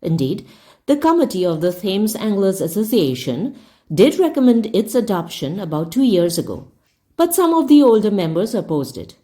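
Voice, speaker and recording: A woman's voice: mid-range voice, big pitch swings, normal speed, warm tone. A woman reading a story aloud in a scripted audiobook narration style.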